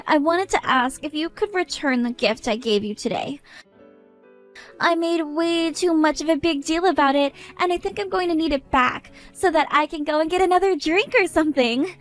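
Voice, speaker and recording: A woman's voice: very high-pitched, pitched above the performer's own voice. A woman voicing a drunk, mocking young woman who teases in a sing-song voice and keeps breaking into cackling laughter.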